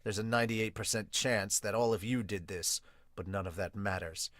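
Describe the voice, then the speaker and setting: A man's voice: lowish voice, moderate pace, fairly bright tone, no echo, clean studio sound, voice pushed down, natural voice. Man putting on a cold, calculating villain. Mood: cold, menacing.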